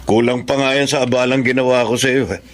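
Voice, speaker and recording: A man's voice: medium pitch, level tone, fairly quick, close to an everyday voice. A man playing a generous, genial businessman in a Tagalog radio drama, speaking in a familiar way. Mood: grateful, genial. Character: hurried, generous, grateful businessman.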